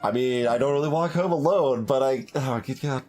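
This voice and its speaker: A man giving casual, rambling commentary as he plays a game, halting and thinking aloud as he speculates, with game music behind him. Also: medium pitch, a little mellow. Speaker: streamer doing a blind voiced playthrough. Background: video game music.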